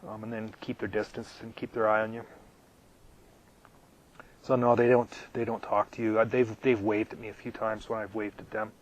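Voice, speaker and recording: A man hosting a live question-and-answer stream, halting and full of ums, losing his voice and clearing his throat often, talking from his RV out in the desert. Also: lowish voice, flat delivery, low-quality recording. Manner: halting, with many ums and repeated words. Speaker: channel host answering viewer questions. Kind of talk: live casual Q&A.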